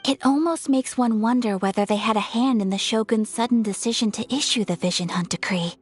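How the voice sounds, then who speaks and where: A composed young noblewoman in a game, pitched a little high with a soft breathy edge. She speaks formally and courteously, every phrase measured. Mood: calm formal. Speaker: noble of a ceremonial commission. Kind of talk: video game voice acting.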